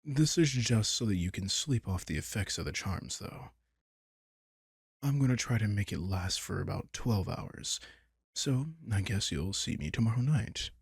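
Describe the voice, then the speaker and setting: A man's voice: lowish voice, lively intonation, measured pace, vocal fry, slightly rough, no room sound, clean studio sound, full character voice. A man playing a caring vampire king in an intimate roleplay audio, speaking in a casual, teasing, protective way. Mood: relaxed, curious. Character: commanding but caring male vampire king.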